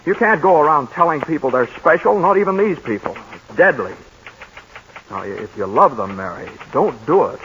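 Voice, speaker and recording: A bright-voiced hustling pollster turned pleading, muffled vintage radio sound. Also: male voice, a little high, animated, moderate pace, a little hiss or hum. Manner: urgent, pleading. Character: fast-talking male pollster, cocky then pleading. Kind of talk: 1940s radio acting. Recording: dull old 1940s radio broadcast.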